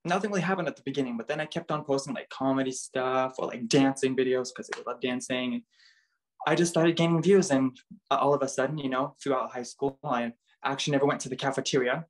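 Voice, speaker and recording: A man's voice: medium pitch, fast, low-quality recording. Chatty young Canadian-raised man. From Bulgaria, raised in Canada. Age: young adult. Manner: chatty, many fillers like "like" and "um". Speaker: interview guest, model and dancer. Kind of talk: casual chat.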